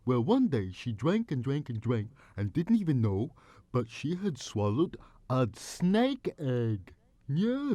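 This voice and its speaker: A man playing a folksy, wide-eyed spinner of tall tales, earnest and rustic in his drawn-out storytelling. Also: on the high side, dark tone, no echo. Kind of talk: dramatic storytelling on a voice demo.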